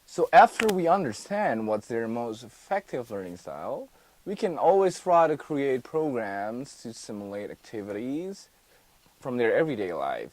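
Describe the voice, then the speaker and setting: A young man from Indonesia, a student guest on a mock TV interview, explaining his course in a careful, halting way with frequent restarts. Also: medium pitch, dry recording.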